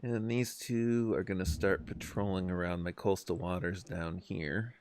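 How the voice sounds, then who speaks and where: A man's voice: low-pitched, fairly even intonation, a little slow, darkish tone, slight background noise. A man streaming a strategy game, chatting casually with viewers, hesitant and self-deprecating, with many fillers. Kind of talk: casual live-stream commentary. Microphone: desk microphone, no camera.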